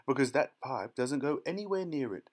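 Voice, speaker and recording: A man's voice: medium pitch, lively intonation, normal speed, darkish tone, voice pushed up, natural voice. A man voicing an eccentric, gleeful chocolate maker in a children's storybook, breezy, emphatic and theatrically reassuring. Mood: emphatic denial.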